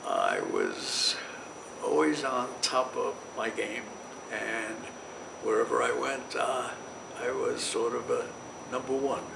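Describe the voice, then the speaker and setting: A man in his nineties answering interview questions slowly and with halting pride. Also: medium pitch, sing-song, vocal fry. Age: 90s. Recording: roomy, distant mic with background noise.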